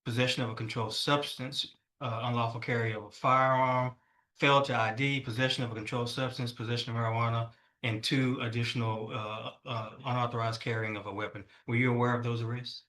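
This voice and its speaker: A man speaking as a prosecutor in court, formal and somewhat halting as he addresses the room. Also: medium pitch, flat delivery, pushed and bright. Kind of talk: courtroom questioning and argument.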